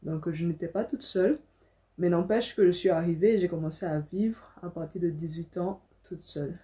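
Mellow-voiced young woman vlogging. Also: on the low side, moderate pace. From Africa. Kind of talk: casual personal storytelling to camera. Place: small furnished room indoors.

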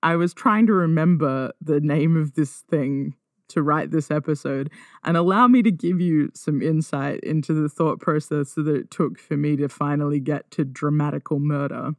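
A man's voice: a little high, normal speed, no echo, studio quality. A man hosting a podcast, reading scripted narration in a wry, informal way with conversational asides. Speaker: podcast host.

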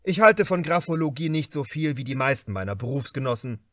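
A man reading a crime novel as an audiobook narrator, in a measured, expressive and carefully articulated way. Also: mid-range voice, no echo.

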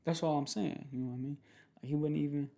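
A young man speaking in a casual, slangy, halting way in an ASMR boyfriend roleplay. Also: a little high, fairly even intonation, gravelly, mellow. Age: young adult. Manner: slangy, laid-back, halting with repeated phrases. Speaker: ASMR creator playing a protective boyfriend. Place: small home room, indoors.

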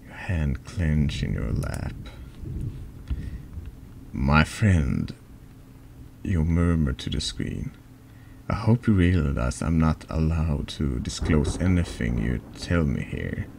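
Very deep, gravelly man reading slowly. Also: very animated, noisy background. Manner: halting reading, stumbles over longer words. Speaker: streamer reading a text horror game aloud. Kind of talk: slow scripted narration, soft horror ASMR.

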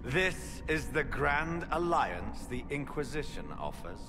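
An actor playing a menacing, corrupted knight captain in a video game, sneering and ominous. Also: male voice, a little high, sing-song, slow pace, background noise, heavily acted. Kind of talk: video game cutscene acting.

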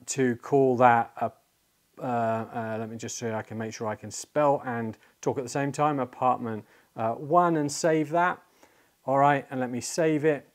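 A man in his forties giving a casual, conversational product walkthrough, pausing and feeling his way as he demonstrates, recorded on a lapel mic in a small studio. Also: mid-range voice. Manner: conversational, halting while demonstrating. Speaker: tech reviewer, presenter. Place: small indoor studio office.

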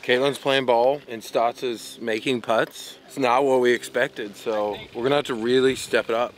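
A man's voice: medium pitch, steady tone, moderate pace, noisy background. A man giving dry joking commentary on a golf match outdoors.

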